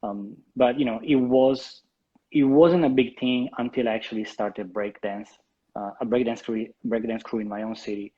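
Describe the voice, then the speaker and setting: A man in his thirties from Italy with an Italian accent, speaking English as a guest in a live interview, relaxed and hesitant with many ums and restarts. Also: mid-range voice, moderate pace, husky, dull audio. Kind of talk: casual interview, live stream.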